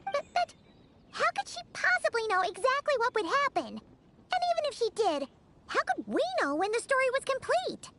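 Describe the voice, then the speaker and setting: A woman voicing a small, childlike, excitable fairy companion in a video game. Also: very high-pitched, moderate pace, full character voice. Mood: puzzled, determined.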